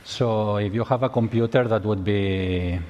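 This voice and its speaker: A man's voice: on the low side, a little slow, darkish tone. Spanish guy leading a conference tutorial, lots of restarts, big hall echo. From Spain. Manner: hesitant, many restarts and repeated words. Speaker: tutorial presenter. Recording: long hall reverb.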